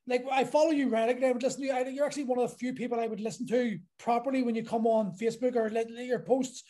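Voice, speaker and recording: A man's voice: extremely high pitch, steady tone, rapid-fire, dark tone. A man hosting a podcast interview, talking casually and often circling back to restart his thoughts. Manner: rambling, restarts thoughts and piles up clauses. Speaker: podcast host.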